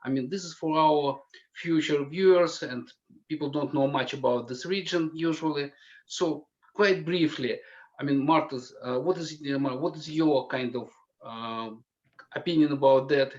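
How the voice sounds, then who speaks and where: A man's voice: on the high side, measured pace, a little mellow, lo-fi sound. A man moderating a panel discussion, asking questions in a casual, informal way.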